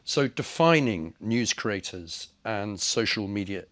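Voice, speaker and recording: A man with a croaky voice from a cold, presenting research findings with frequent hesitations. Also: lowish voice, lively intonation, takes it slowly, fairly bright tone. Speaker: report author presenting research.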